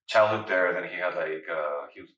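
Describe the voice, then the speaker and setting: A man's voice: sits low, normal speed, bright, forceful tone. A man in his thirties from Mexico, chatting casually in an interview with lots of fillers. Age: 30s. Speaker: photographer and writer. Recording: roomy, distant mic.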